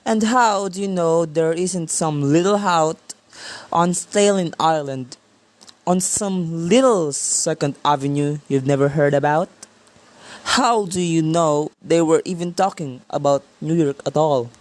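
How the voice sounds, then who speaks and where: A stolid police officer acted by a woman in a radio drama, with a low, mellow voice. The delivery is slow and patronizing, weary and dismissive of the caller. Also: no echo. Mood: skeptical, patronizing. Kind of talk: radio play acting.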